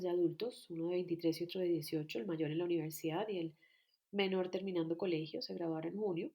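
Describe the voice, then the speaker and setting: A woman, a guest telling her life story in a calm, earnest, reflective way. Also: on the low side. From Colombia. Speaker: guest, personal growth coach. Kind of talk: reflective personal account. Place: at home near Bogotá.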